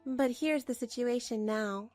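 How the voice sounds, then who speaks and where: A woman acting a put-upon housewife in a manga dub, with a high voice. She narrates her troubles in a plaintive, weary way and speaks up apologetically. Faint music plays underneath. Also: measured pace, a little mellow, lightly acted. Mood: resigned narration. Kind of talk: first-person scripted story narration, manga dub.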